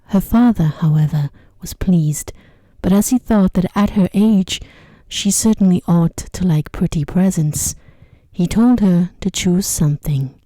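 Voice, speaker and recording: Very dark, soft-spoken woman reading a bedtime story. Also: fairly low pitch, no echo. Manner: hushed, gentle, measured reading. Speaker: narrator of sleep stories.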